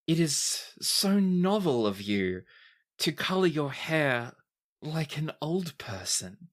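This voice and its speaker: A woman's voice: low-pitched, sing-song, slow, voice pushed up, heavily acted. A woman voicing a haughty, mocking noblewoman in a tabletop game, with a condescending, mock-polite drawl. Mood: mocking, disdainful. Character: haughty, mocking noblewoman, sneering and easily enraged.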